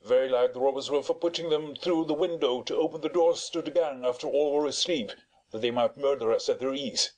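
Brief: a reader putting on a rough, blustering manservant, threatening, storybook reading. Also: male voice, mid-range voice, brisk, a little mellow, voice pushed up, close to an everyday voice, rough recording. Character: gruff male servant, blustering.

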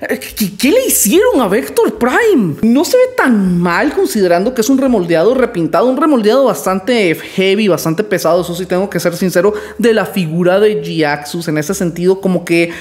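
A man in his twenties with a high voice, talking in a casual, rambling and playfully boastful way in a toy review vlog, recorded at home on a studio mic. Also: expressive, moderate pace, hardly any pauses. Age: 20s. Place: small room at home. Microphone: studio mic on stand in front.

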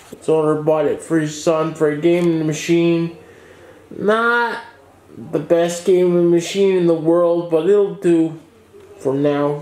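Slow-talking high-voiced shop owner. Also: male voice, mellow, reverberant. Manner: unhurried, plain explanatory talk with small restarts. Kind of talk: casual shop update to camera. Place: small office workshop with desk, indoors.

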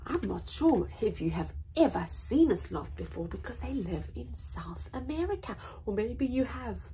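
Over some background noise, a young woman reads to small children. Her voice sits fairly high and swoops expressively. She takes her time, leaning on words with drawn-out emphasis. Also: poor audio. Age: young adult. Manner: animated, deliberate, drawn-out emphasis. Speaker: children's story time host. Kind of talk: read-aloud storytelling for young children. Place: small indoor room with painted backdrop.

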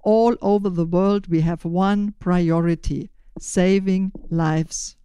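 An older woman with a German accent speaking deliberately and in measured phrases as a podcast host, giving prepared interview answers. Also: fairly low pitch, fairly even intonation, dark tone, clear, resonant tone, no room sound.

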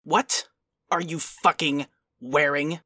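A woman's voice: low voice, fairly even intonation, slow, no room sound, clean studio sound, full character voice. A woman voicing an angry, foul-mouthed young male troll in a cartoon dub, ranting and exasperated. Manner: ranting, irritable, shouty. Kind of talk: animated web comic dub acting.

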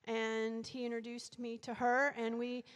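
Croaky middle-aged woman with a cold. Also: fairly high pitch, completely flat delivery, unhurried. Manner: chatty, warm-up with fillers. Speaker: guest speaker. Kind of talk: sermon introduction. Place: church sanctuary at a lectern. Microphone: handheld mic. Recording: reverberant church hall.

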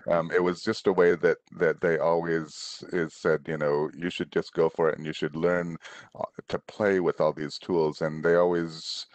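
A middle-aged man from Indonesia, an author on a video call, answering children's questions in a relaxed, rambling way with many restarts. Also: low-pitched, moderate pace, no echo. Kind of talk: casual conversational answers. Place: room at home, on a video call. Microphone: headset.

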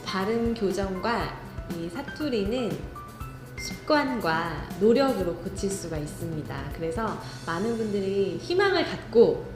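A woman speech instructor giving calm, encouraging interview answers. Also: medium pitch, reverberant.

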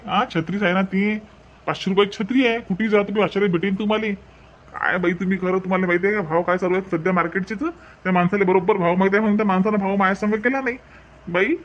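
A man's voice: sits high, lo-fi sound, lightly acted. A man voicing a stubborn, haggling street peddler in a cartoon, with a Varhadi Marathi accent. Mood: defensive. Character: pushy middle-aged male street peddler. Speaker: umbrella seller.

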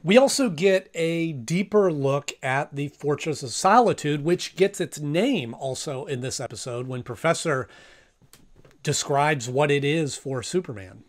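A man's voice: on the high side, lively intonation, measured pace. A man in his thirties giving a casual review to camera as host of a video. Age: 30s. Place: small home room, indoors.

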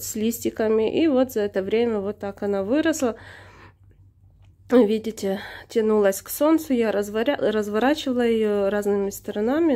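A woman with a fairly high, mellow voice talks casually through a home video. She sounds slightly hoarse, as if under the weather, and pauses mid-sentence as she searches for words. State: slightly hoarse from being unwell. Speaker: plant vlogger. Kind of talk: casual unscripted narration of own video. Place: indoors, room in a flat. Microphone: handheld camera or phone, behind the camera.

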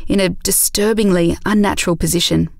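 A woman's voice: lowish voice, fairly even intonation, brisk, vocal fry, no echo, studio quality. A woman narrator reading scripted prose for an audio drama.

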